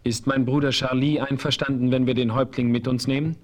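An actor playing a dignified Apache chief in a radio play, speaking formally and referring to himself in the third person. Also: male voice, mid-range voice, flat delivery, a little fast, slight background noise, lightly acted. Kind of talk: 1970s radio play acting.

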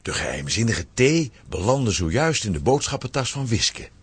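A man's voice: lowish voice, sing-song, moderate pace, husky. A man narrating a scripted children's story in a dramatic storytelling manner.

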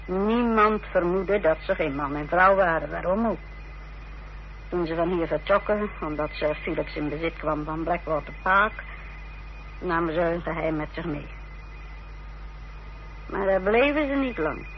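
A woman's voice: on the low side, unhurried, pauses often. An actress playing an unrepentant village wife in a Dutch radio play, telling her story in a self-justifying way. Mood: matter-of-fact, confiding. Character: unrepentant, defiant village wife confessing her part in a fraud. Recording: dull old radio recording with hiss and hum.

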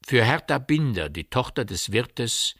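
A man's voice: mid-range voice, very animated, unhurried, fairly bright tone, no echo, clean studio sound. A man narrating a radio drama in scripted literary prose.

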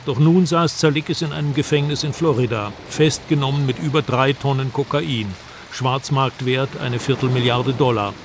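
A man acting as a male investigative reporter tells his story in measured, literary radio-drama narration. His pitch is mid-range, and clear outdoor ambience with traffic runs behind him. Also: fairly even intonation, lightly acted. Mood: measured, reflective. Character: male investigative reporter recounting a jungle search. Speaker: first-person narrator of a radio documentary drama.

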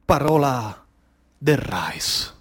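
A man with a Sicilian accent performing a theatrical storytelling monologue in a rhythmic, incantatory manner, with repeated phrases and dramatic pauses. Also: medium pitch, big pitch swings, fairly bright tone, breathy edge. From Sicily, Trapani area. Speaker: actor and storyteller.

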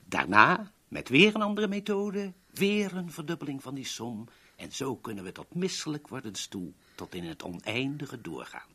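Florid, wheedling go-between, swooping intonation. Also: male voice, mid-range voice, very animated, moderate pace, bright, forceful tone, breathy edge, full character voice. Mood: oily persuasion. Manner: florid, over-courteous, theatrical. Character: polite, wheedling, self-important male shady go-between. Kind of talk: 1970s Dutch radio drama acting.

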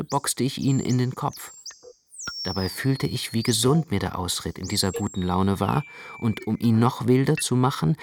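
A man narrating literary prose for a radio production in a dramatic, expressive way. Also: fairly low pitch, vocal fry, a little hiss or hum.

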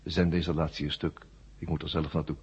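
A man playing an ancient, worn-out war machine brain in a 1970s radio drama, weary and forgetful, in flat, halting, repetitive statements. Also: very deep voice, slightly rough, heavily acted. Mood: weary, explaining. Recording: muffled old radio drama recording.

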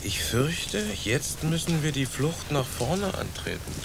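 An actor playing a formal, coolly calculating schemer in a German radio play, speaking with mock solemnity. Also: male voice, on the high side, slow pace, vocal fry, background noise, full character voice. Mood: scheming, deadpan. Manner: formal, deadpan. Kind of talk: German radio drama acting.

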